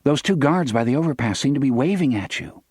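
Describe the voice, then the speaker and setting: A man's voice: mid-range voice, lively intonation, brisk, darkish tone, husky, no room sound, studio quality, voice pushed up. A man voicing an emotional young newlywed woman in an audiobook. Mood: worried. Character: anxious young newlywed woman, tender daughter.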